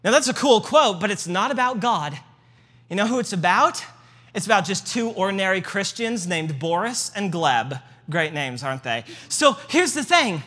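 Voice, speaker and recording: A man's voice: high voice, very animated, moderate pace, pushed and bright. A man with a Midwestern accent giving a casual history lecture with slides, chatty and joking, with fillers. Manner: conversational, joking asides, many fillers. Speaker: church history speaker. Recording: hall reverb.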